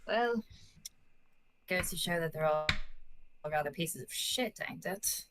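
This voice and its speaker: Woman playing a low-voiced, blunt tavern barmaid. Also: a little slow, noisy background. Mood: dry, blunt. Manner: dry, blunt. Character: blunt, composed tavern barmaid, dryly polite.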